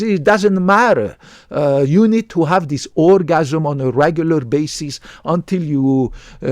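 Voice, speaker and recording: A man's voice: a little high, a little mellow, no room sound, studio quality. A man, a medical doctor, giving emphatic health advice straight to his viewers, tagging lines with "right?". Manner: emphatic, repeats commands, tags lines with "right?". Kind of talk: direct-to-camera health talk.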